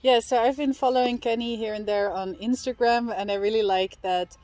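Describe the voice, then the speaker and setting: A woman's voice: on the high side, steady tone, fairly quick, a little mellow, no room sound. A woman in her thirties, a native Dutch speaker, hosting a casual interview in a friendly way with many affirming murmurs, seated at an outdoor picnic table. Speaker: host.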